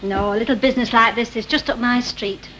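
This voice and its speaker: An actress playing a chatty, eager new corner shop owner with a Northern English accent in a 1960s TV drama. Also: female voice, a little high, fairly even intonation, fairly quick, a bit bright, lo-fi sound, natural voice. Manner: keen, chatty. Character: chatty, eager female newcomer taking over a corner shop. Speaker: actress in a TV soap. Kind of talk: 1960s British TV drama acting. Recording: faintly noisy old 1960 TV soundtrack.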